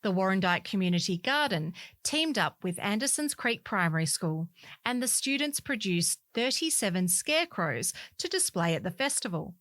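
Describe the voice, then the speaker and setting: A woman's voice: mid-range voice, a bit bright, no echo. Woman reading articles aloud, dry close sound. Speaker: volunteer newspaper reader.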